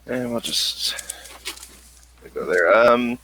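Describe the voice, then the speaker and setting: A man's voice: mid-range voice, expressive, extremely slow, sharp tone, slight background noise, low-quality recording. A man running a tabletop game as game master, thinking aloud as he looks up details for the players. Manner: thinking aloud while looking things up.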